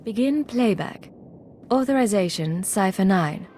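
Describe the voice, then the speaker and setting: A woman's voice: medium pitch, expressive, unhurried, darkish tone, a little hiss or hum, close to an everyday voice. An actress playing a cool female secret agent in a video game, speaking in a curt, controlled, authoritative way. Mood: composed. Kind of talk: video game character acting.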